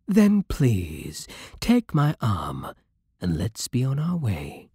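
A British man playing a courtly, possessive vampire king in an intimate ASMR roleplay, silky, formal and commanding with quiet menace. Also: medium pitch, huge pitch swings, slow, no room sound, exaggerated voice. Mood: courtly, smooth. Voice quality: breathy, husky.

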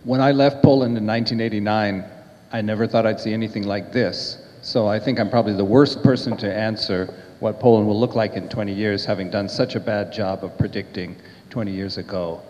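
A middle-aged man speaks on a panel in a measured way, without a script. His fairly low voice reverberates in the hall, and the recording is a little dull. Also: fairly even intonation, a little mellow, faint music behind. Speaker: panelist, diplomat. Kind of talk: unscripted panel remarks. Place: panel table in brick-walled indoor hall. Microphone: handheld microphone. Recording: echoey hall, slightly dull sound.

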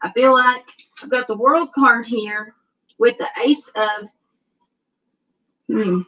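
A woman's voice: on the high side, fairly even intonation, takes it slowly, sharp tone, far from the mic, highs cut off. A middle-aged woman with a Southern US accent, rambling and hesitant as she reads tarot cards on a video call from her home. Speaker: tarot reader guest. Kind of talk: casual tarot reading on a livestream. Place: home room indoors. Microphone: video call webcam.

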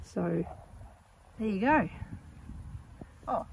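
A young woman with a croaky sore throat, chatting casually and rambling with lots of ums while filming her garden outdoors on a phone. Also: medium pitch, lively intonation, very slow, slight background noise, rough recording. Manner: rambling, chatty, with many ums and soft laughs. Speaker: gardening vlogger. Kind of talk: casual chat.

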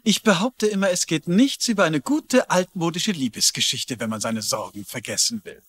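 An actor playing a courtly, gushing gentleman executive with formal, effusive manners in a dubbed TV drama. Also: a little high, lively intonation, normal speed. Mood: genial.

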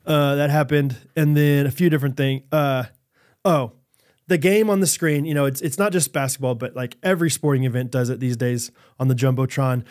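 A man's voice: mid-range voice, brisk, darkish tone. A man in his thirties co-hosting a podcast, telling rambling stories with frequent restarts, recorded on a studio mic. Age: 30s. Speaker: podcast co-host. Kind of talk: casual chat. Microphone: studio mic on boom arm, headphones.

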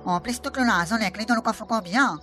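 A woman with a Réunionese accent voicing a cheeky, mocking male island buddy in a local comedy radio soap, with rapid teasing banter. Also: a little high, sharp tone, soft background music.

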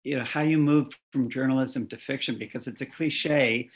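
An older man with a gravelly, creaky voice asks his questions in a halting way, circling back and restarting. He speaks into a headset from a home study. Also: mid-range voice, fairly even intonation. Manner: halting, stammering restarts while forming questions. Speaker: interviewer. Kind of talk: interview questions.

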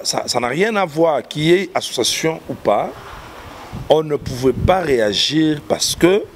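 A man's voice: mid-range voice, a little hiss or hum. A man in his fifties from Kinshasa with a Congolese French accent, a pastor explaining at length in an interview in a church hall. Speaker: pastor and artist guest. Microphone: handheld mic held by host.

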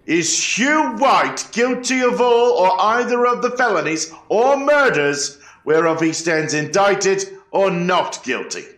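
A man's voice: high-pitched, very animated, slow pace, hardly any pauses, fairly bright tone, heavily acted. A man playing an eighteenth-century court clerk, calling out formal, ritual proclamations to a jury. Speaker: actor in a historical trial reenactment. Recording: echoey room.

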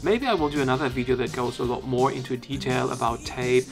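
Male reviewer, casual narration, mid-pitched voice, clear music bed underneath. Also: fast. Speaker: tech reviewer.

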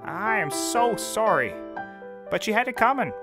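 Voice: medium pitch, big pitch swings, measured pace, over loud music. An actor in a scripted comedy playing a brash, hapless private detective who rambles and corrects himself. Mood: feigned gravity. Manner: rambling, self-correcting, emphatic. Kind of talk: scripted audio comedy acting.

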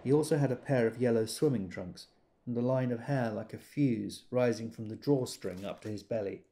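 An actor playing a wistful, tender man quietly remembering his boyhood in an audio drama monologue. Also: mid-range voice, moderate pace, lightly acted. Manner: quiet, reflective.

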